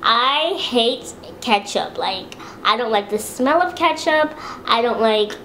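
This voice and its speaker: A young woman with a high-pitched voice, chatting casually to camera in a home video and talking about herself. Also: takes it slowly, a little hiss or hum. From Los Angeles, USA. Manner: chatty, relaxed, with many fillers and restarts. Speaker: beauty vlogger.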